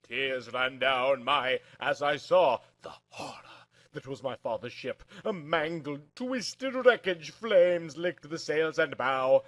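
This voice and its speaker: A man's voice: fairly high pitch, slow, fairly bright tone. A man reading a first-person story aloud in a theatrical, emphatic narrating style.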